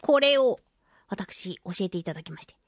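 A woman's voice: mid-range voice, lively intonation, moderate pace, dry recording, studio quality. A woman hosting a casual solo radio talk, chatty and giggly, playfully slipping into mock-polite phrases as she narrates. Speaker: radio show host and voice actress.

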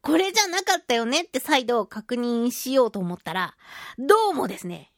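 Female radio host, high and very animated voice, playful giggly chat, dry studio-close sound. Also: normal speed, no room sound. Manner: giggly, chatty, playfully self-narrating in mock-polite phrases. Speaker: radio show host and voice actress. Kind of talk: casual chatty solo radio talk.

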